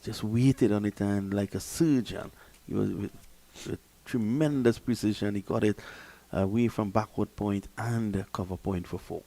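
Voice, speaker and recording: A man calling live cricket in a lively, chatty way, swelling louder on big moments. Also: lowish voice, normal speed, vocal fry, a little hiss or hum. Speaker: cricket commentator.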